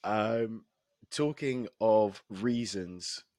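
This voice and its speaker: A man with a hoarse, husky voice co-hosting a casual podcast and laughing often between remarks. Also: fairly low pitch, very slow, darkish tone, no room sound, studio quality. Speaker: podcast co-host. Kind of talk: casual chat.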